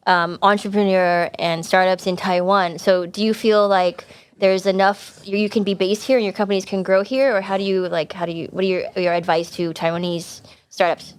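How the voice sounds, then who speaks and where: A woman with a medium-pitched voice moderates a panel in a casual way. She puts questions to the guests and slips in informal asides. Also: flat delivery, normal speed, clean tone. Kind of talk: casual chat, asking questions.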